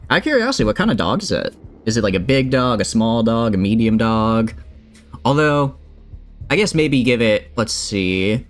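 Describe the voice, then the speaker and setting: A man chatting with viewers while streaming a game, rambling and joking at his own expense. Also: fairly low pitch. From United States. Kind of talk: casual gameplay stream chat.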